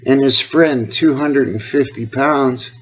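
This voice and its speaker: A man's voice: medium pitch, slow pace. A man with a Canadian accent talking earnestly and insistently on a phone call, rambling from point to point. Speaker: caller, health awareness advocate. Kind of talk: phone conversation. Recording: dull lo-fi phone call recording.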